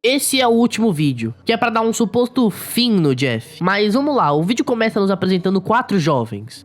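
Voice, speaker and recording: A young adult woman with a Brazilian accent narrating a horror story recap in an informal storytelling manner. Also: lowish voice. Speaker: video narrator.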